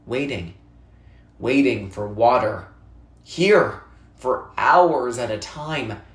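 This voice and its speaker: A narrator reading a children's novel aloud expressively and deliberately, with dramatic pauses for emphasis. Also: medium pitch. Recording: roomy, echoey sound.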